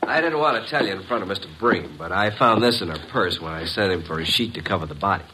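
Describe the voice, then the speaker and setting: An actor playing an eager, wisecracking detective's assistant in an old radio drama, plain-spoken and brisk. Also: male voice, low voice, lively intonation, pushed and bright, slight background noise, poor audio. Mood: earnest. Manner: brisk, plain-spoken, deferential with wry cheek. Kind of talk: 1940s-1950s radio detective acting.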